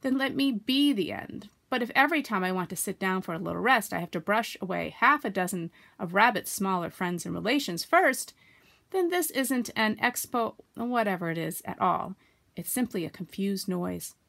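A woman voicing a gloomy, grumbling, put-upon old male donkey from a storybook, complaining in a slow, resigned, plodding way. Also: mid-range voice, lively intonation, gravelly, fairly bright tone. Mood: glum, resigned.

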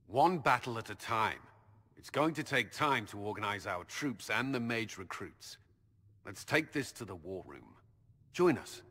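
An actor playing a steady, duty-bound male army commander in video game dialogue, brisk and practical. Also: fairly low pitch, big-room sound, exaggerated voice. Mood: firm.

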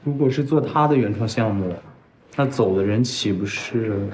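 A man's voice: lowish voice, moderate pace, darkish tone, a little hiss or hum, close to an everyday voice. A young man playing a nosy, casual office colleague in a screen drama. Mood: sceptical. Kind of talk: naturalistic screen drama acting.

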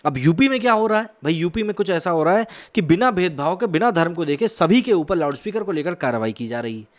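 A man's voice: high voice, big pitch swings, quick pace. A man in his thirties from India presenting explanatory news commentary as a host, speaking into a close studio microphone. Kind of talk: news explainer commentary, part conversational, part scripted narration. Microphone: close desk microphone on a boom arm.

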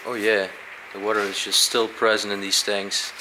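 A young man casually exploring a dark abandoned aquarium, curious and describing what he finds, with short laughs. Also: lowish voice, little pitch movement, normal speed, noisy background. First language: Dutch. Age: young adult. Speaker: urban explorer.

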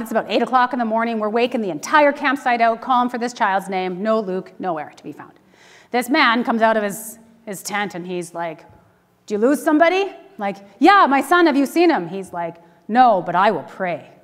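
Casting: Canadian woman preacher, middle-aged, higher-pitched voice, informal and animated, recorded in a reverberant sanctuary. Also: normal speed. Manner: informal, candid, animated delivery. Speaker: pastor. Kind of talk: conversational sermon with personal storytelling. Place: church sanctuary, speaking to a congregation. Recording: reverberant church hall.

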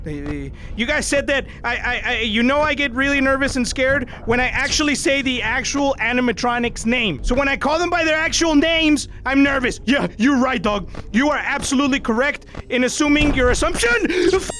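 A young man playing a VR horror game, panicking and yelling, switching between English and Spanish, and losing his voice. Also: very high voice, brisk, hardly any pauses, slight background noise. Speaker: VR gaming YouTuber. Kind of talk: loud, frantic gameplay commentary. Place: indoor room, in front of a green screen.

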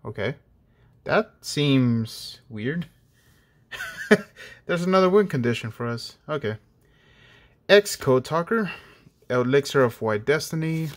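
A man opening trading card packs at home, keeping his voice down so he won't wake others, with a slightly dry voice, reading each card name aloud in a casual, hushed way. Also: medium pitch. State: voice a bit off, dry throat. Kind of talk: casual pack-opening commentary. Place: indoors at a table at night.

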